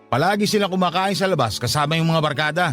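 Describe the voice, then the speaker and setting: A man's voice: on the high side, animated, quick pace, fairly bright tone. A man playing a callous, scornful middle-aged husband in a radio drama, dismissive and impatient, with a Filipino accent. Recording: faint music bed behind voice.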